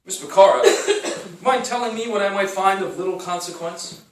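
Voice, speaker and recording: An actor playing a world-weary, wisecracking 1930s private detective in a noir radio play, musing and wry. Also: male voice, sits high, moderate pace, slight background noise, natural voice. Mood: guarded, wry. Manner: wry, hard-boiled, musing. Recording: roomy, distant, music underneath.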